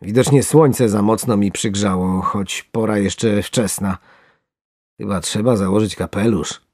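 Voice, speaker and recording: A storyteller voicing a bewildered, flustered male angler from a storybook, muttering to himself and trying to stay calm. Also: sits low, unhurried, breathy edge, dry recording, studio quality. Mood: dazed. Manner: muttering, self-soothing.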